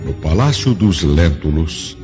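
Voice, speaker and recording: A man's voice: a bass voice, expressive, measured pace. A man with a Brazilian Portuguese accent giving scripted, dramatic narration for a radio drama. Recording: muffled radio drama recording with music.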